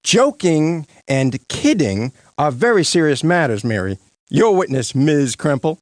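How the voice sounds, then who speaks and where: A man's voice: fairly high pitch, sing-song, a little slow, darkish tone, dry recording, clean studio sound. A man voicing a pompous cartoon prosecutor who questions in a dramatic, grandiose way. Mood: accusing. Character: pompous, theatrical male prosecuting lawyer. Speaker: lawyer character. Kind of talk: animated courtroom cartoon voice acting.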